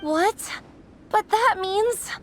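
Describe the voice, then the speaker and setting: A woman's voice: extremely high pitch, extremely slow, slight background noise, heavily acted. A woman voicing an earnest, eager young girl in a video game, with a small, childlike voice. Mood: worried. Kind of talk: English video game voice acting.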